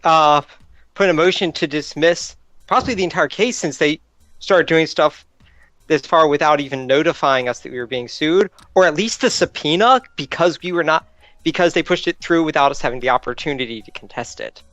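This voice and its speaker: A man's voice: on the high side, a little fast, fairly bright tone, no room sound. A man talking casually as a player, discussing options in a tabletop game. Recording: dry, music behind. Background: background music.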